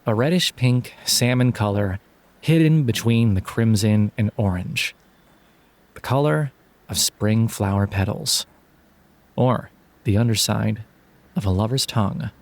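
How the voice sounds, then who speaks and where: A man narrating a scripted audio drama in a measured storytelling delivery. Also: fairly low pitch, darkish tone.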